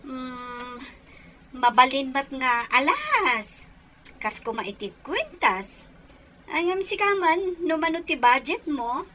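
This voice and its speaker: A woman's voice: sits high, big pitch swings, takes it slowly, pauses often, sharp tone, slight background noise, heavily acted. A woman acting a helpful older-sister figure with an Ilocano accent in a radio drama. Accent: Filipino, Ilocano.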